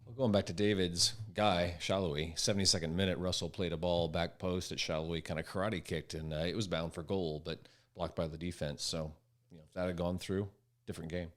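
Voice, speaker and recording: Deep-voiced American sports panelist, male. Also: steady tone, a little fast, few pauses. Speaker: podcast panelist. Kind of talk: casual sports discussion.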